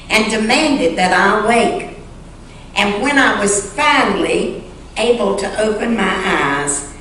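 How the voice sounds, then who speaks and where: A woman reading a story aloud in church in a solemn, dramatic style, with deliberate phrasing and pauses. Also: medium pitch, fairly bright tone. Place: large church sanctuary. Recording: echoey church hall, distant mic, faint noise.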